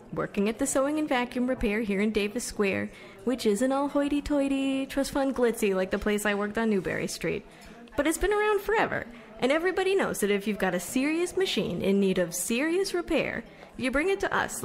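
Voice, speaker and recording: Audio drama monologue: proud, chatty young repairwoman, animated intonation, rambling everyday delivery. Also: a little high. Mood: proud, earnest. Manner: rambling, conversational storytelling. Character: chatty, proud young woman who repairs sewing machines.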